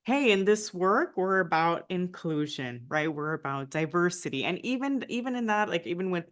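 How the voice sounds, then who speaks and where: Woman with a Nicaraguan American accent, somewhat low pitch, lively storytelling, guest in an interview. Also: moderate pace. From United States, family from Nicaragua. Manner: animated storytelling, frequent "right?" tags. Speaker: guest, former diplomat. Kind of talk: conversational interview.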